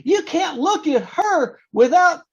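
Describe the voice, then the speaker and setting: A man's voice: very high-pitched, big pitch swings, full character voice. A man playing a gushing, cheeky teenage schoolboy in old-time radio comedy style over a video call. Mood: excited. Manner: exaggerated, gushing, slow drawn-out delivery.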